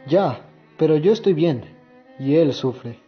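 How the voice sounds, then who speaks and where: A man's voice: a little high, lively intonation, takes it slowly. A man acting in a scripted radio drama. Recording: muffled lo-fi audio under music.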